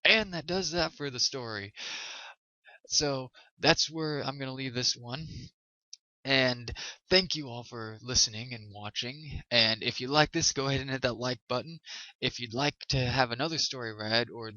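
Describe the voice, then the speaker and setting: A man's voice: mid-range voice, moderate pace, sharp tone, no echo. A man hosting a storytime show, reading and presenting to listeners as the narrator. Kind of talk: scripted ghost story narration. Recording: slightly dull sound, music behind. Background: background music.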